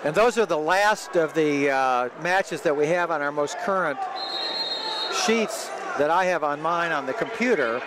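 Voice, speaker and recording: Over a noisy, crowded arena, a man with a fairly high voice calls wrestling live for radio. He does play-by-play in a rambling, explanatory way. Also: animated, measured pace. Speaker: play-by-play sports announcer. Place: large indoor sports arena. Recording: loud crowd and gym noise behind. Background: crowd, bouncing and thuds of a tournament.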